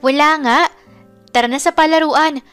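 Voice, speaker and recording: A woman voicing a ten-year-old boy, brisk, childlike and playful, in a narrated story. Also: high-pitched, fairly even intonation, faint music behind, voice pushed up, close to an everyday voice. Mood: dismissive, playful.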